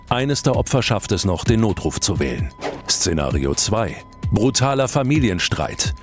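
A man giving dramatic scripted narration for a true-crime story. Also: lowish voice.

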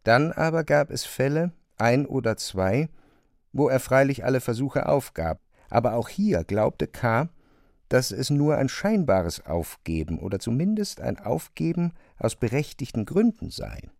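A man's voice: mid-range voice, very animated, a little slow, creaky, darkish tone, dry recording. Man narrating literary prose, very dry sound.

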